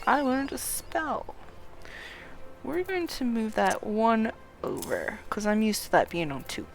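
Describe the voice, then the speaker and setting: A woman's voice: on the high side, wildly swooping pitch, measured pace, creaky, soft background music. A woman game streamer giving a casual walkthrough, chatting and reading quest text aloud.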